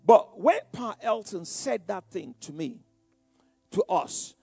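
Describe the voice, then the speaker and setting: High-voiced older Nigerian preacher. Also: male voice, sing-song, takes it slowly, vocal fry, warm tone, husky, dry recording, faint music behind. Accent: Nigerian Yoruba. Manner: repeats words, frequent "you know" fillers. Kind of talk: sermon-like personal testimony.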